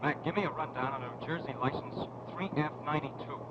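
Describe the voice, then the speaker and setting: A man playing an eager, brisk patrolman calling in over police radio, in American old-time radio drama style. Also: fairly high pitch. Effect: police radio transmission. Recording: dull, very noisy radio transmission.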